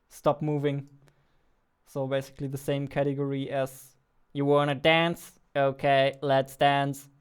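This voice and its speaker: A man in his twenties, a non-native English speaker, thinking aloud in casual, unhurried live coding commentary. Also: mid-range voice, clear, resonant tone. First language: not English. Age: 20s. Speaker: indie game developer, streamer. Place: small indoor room at a computer.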